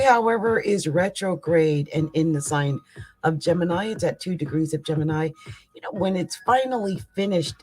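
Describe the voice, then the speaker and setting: Woman, raspy voice, live astrology host. Loose, conversational delivery with emphatic asides. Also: low voice, a little mellow, rough recording. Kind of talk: casual live astrology chat.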